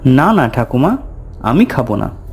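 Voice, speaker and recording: A man narrating a scripted horror story in the first person, in a measured, deliberate way. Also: medium pitch, very animated, creaky, a little hiss or hum. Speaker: storyteller narrator voicing a tantric recounting his past. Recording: music bed under the voice. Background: music.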